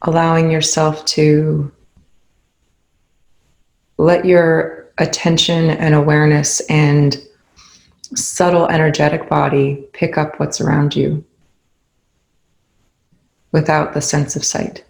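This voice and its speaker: A meditation instructor leading a guided session in a soothing, gentle, unhurried way with long pauses. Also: mid-range voice, little pitch movement, creaky, echoey room.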